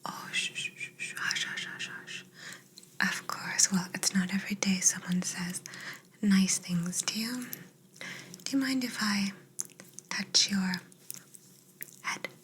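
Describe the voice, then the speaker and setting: A woman playing a curious, teasing alien abductor who soothes a human in a soft whispered ASMR roleplay with gentle reassurances. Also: medium pitch, slow pace, pauses often. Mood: soothing, curious.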